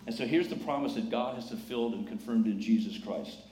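A man speaking as a pastor, welcoming and encouraging a congregation in measured phrases during a live church service. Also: medium pitch. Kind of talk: church welcome and scripture reading. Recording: echoey church sanctuary, clear background noise.

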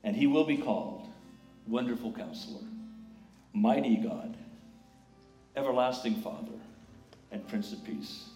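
A man speaking as a pastor, welcoming and encouraging a congregation in measured phrases during a live church service. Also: medium pitch, expressive, dark tone, soft background music. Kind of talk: church welcome and scripture reading. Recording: echoey church sanctuary, clear background noise.

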